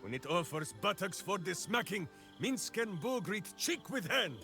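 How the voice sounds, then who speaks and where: An actor playing a hearty, simple-minded berserker ranger in a fantasy video game, speaking in grandiose, oddly phrased declarations. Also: male voice, high-pitched, very animated, a little slow. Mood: proud, boisterous. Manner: grandiose, third-person heroic declarations with odd phrasing. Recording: music behind the voice.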